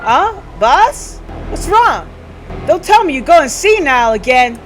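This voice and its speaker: A woman voicing an excitable, cheeky subordinate detective in a visual novel, exclamatory and animated as they fret over their boss. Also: high-pitched, takes it slowly, faint music behind, pitched above the performer's own voice, full character voice. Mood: alarmed.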